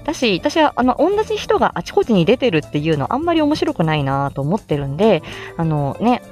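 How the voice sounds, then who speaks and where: A woman hosts a casual livestream with a very animated, swooping delivery at an average female pitch. She is chatty and encouraging as she gives beginner tips. Soft music plays behind her. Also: a little fast. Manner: chatty, encouraging, often chuckling. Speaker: livestream host, amateur voice actor.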